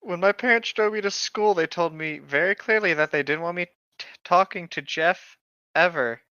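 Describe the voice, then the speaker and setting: A man's voice: sits high, normal speed, pushed and bright, smooth, no echo, lo-fi sound. A man reading aloud casually and chatting as a comedy co-host, with wry, rambling asides. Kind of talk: casual read-aloud with joking banter.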